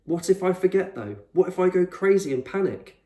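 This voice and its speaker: Man voicing an anxious, stubborn boy, pitched up. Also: expressive, brisk, mellow. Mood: anxious, pleading. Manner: urgent, questioning, argumentative. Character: anxious, stubborn young boy, questioning and defiant. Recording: roomy echo, distant microphone.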